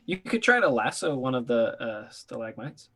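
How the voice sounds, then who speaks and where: A man's voice: mid-range voice, big pitch swings, moderate pace, pushed and bright, breathy edge. A man running a tabletop game as dungeon master, narrating with playful laughs.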